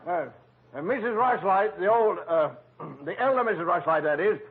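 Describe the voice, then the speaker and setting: A meek, flustered gentleman in an old radio comedy-mystery. His voice is very high for a man, fussy and hesitant. The recording is thin and phone-like. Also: expressive, normal speed, exaggerated voice. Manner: hesitant, throat-clearing, fussy. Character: flustered, meek, henpecked gentleman making an announcement. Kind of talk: 1940s radio acting.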